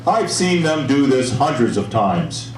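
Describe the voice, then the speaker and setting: Eager puppet show presenter, put on by a performer, emphatic and swooping in pitch, for young children; distant, noisy live recording. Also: sing-song, measured pace, echoey room. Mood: reassuring.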